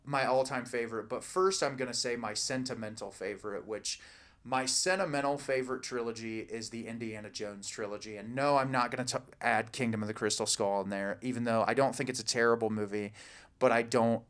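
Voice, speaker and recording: Casual man with a medium-pitched voice. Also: fairly even intonation, a little fast, fairly bright tone. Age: 30s or older. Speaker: podcast co-host. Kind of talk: casual film discussion.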